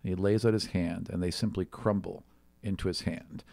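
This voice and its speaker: A man's voice: deep voice, fairly even intonation, moderate pace, vocal fry, darkish tone, dry recording. A man improvising narration as game master of a tabletop fantasy game.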